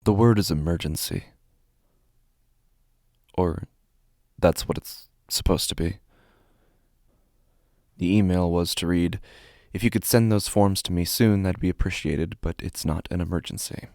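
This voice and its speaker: A man playing an anxious, meticulous office worker, narrating a horror story in the first person in a halting, deliberate way. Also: deep voice, vocal fry, darkish tone, no room sound, clean studio sound. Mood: measured, uneasy. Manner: halting, deliberate, stammers when agitated. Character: anxious, meticulous male office worker unsettled by his typos.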